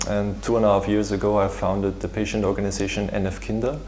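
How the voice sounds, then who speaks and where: A man with an Austrian accent and German as his first language, explaining his work in an interview in a halting, searching way. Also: on the low side, flat delivery, darkish tone, a little hiss or hum. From Vienna, Austria. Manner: hesitant, halting delivery with repeated words. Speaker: patient organization founder, interviewee. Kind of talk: interview answer, explanatory talk.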